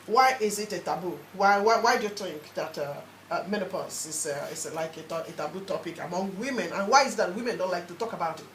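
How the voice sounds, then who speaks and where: A woman's voice: fairly low pitch, quick pace, few pauses, roomy sound, a little hiss or hum, rough recording. A woman hosting a radio talk show who introduces her guests and presses them with repeated questions.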